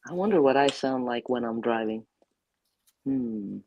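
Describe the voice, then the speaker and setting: A woman chatting as a guest, playful and quick to laugh, telling stories with animation. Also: extremely low pitch, animated, mellow, highs cut off, slight background noise. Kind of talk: casual chat.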